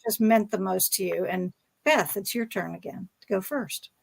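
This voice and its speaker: A woman's voice: medium pitch, moderate pace, creaky, breathy edge. A woman moderating a fan panel discussion, speaking conversationally and feeling her way through her phrasing.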